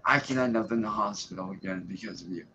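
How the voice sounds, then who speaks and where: A man's voice: lowish voice, fast talker, sharp tone. A man on a livestream ranting angrily, with heavy profanity and threatening emphasis. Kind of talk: angry livestream rant. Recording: dull lo-fi stream audio with faint hiss.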